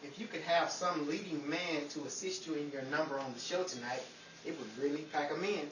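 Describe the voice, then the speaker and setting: A woman's voice: low voice, brisk, natural voice. Woman playing a wheedling girl-crazy bachelor, distant and roomy. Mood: coaxing. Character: girl-crazy, pleading bachelor sidekick, a man. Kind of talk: 1950s old-time radio comedy acting. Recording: roomy, distant, noisy live audience recording.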